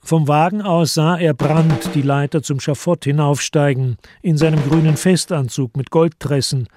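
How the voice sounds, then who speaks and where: A man narrating a literary radio play from a script in a measured, solemn manner. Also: a little high, steady tone, over music.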